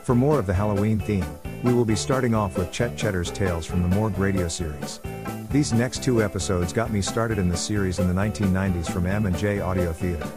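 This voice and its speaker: A man's voice: sits low, fast. A man hosting a radio show, delivering a scripted program introduction. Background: music.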